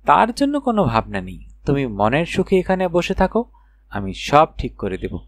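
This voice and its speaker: A man voicing a kindly, teasing cat queen from a fairy tale, reassuring and gently questioning. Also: fairly high pitch, huge pitch swings, moderate pace.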